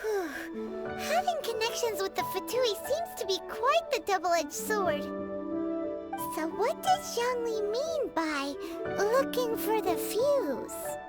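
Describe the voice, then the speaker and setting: A woman voicing a small, childlike fairy companion in a video game, chirpy, excitable and earnest. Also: very high-pitched, takes it slowly, over loud music, full character voice. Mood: pondering. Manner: refers to self by name, exclamatory. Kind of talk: video game character acting.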